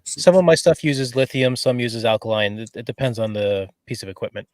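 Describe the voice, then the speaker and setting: A man's voice: mid-range voice, animated, a little fast, darkish tone, no room sound. A man who investigates the paranormal, chatting casually and explaining things in stop-start phrases. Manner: explains in stop-start bits, repeats words. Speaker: paranormal investigator, podcast regular. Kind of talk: casual chat.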